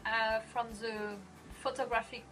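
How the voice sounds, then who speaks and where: A woman's voice: on the high side, flat delivery, measured pace, bright, forceful tone, faint music behind, rough recording. A woman, answering interview questions earnestly in slightly broken English. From France. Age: 30s.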